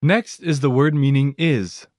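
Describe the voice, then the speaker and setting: A man's voice: medium pitch, unhurried, darkish tone, dry recording, clean studio sound. A man narrating a language lesson audiobook, announcing each word in a fixed scripted pattern.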